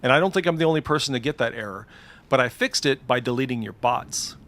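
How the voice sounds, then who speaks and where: A man's voice: medium pitch, fast talker, a bit bright. A man giving casual, informal screen-share commentary as a game streamer, swearing freely. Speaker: streamer demonstrating game add-ons.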